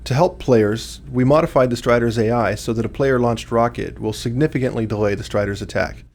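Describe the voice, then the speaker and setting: A man's voice: medium pitch, brisk, a little mellow. A man giving scripted commentary as a game developer.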